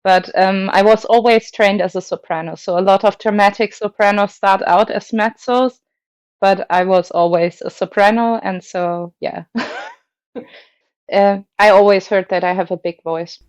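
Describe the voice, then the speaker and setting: A woman's voice: mid-range voice, fairly even intonation, normal speed, dry recording. Dry-voiced woman, hesitant opera guest. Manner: thoughtful, hesitant, many fillers and restarts, laughs often. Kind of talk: casual interview chat.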